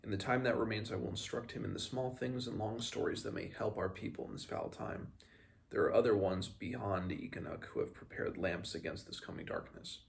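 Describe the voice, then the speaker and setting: A man's voice: lowish voice, expressive, brisk, creaky. A man reading the part of a solemn old shaman who recites formally in a fantasy storybook. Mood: solemn farewell. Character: solemn old male shaman reciting his death song.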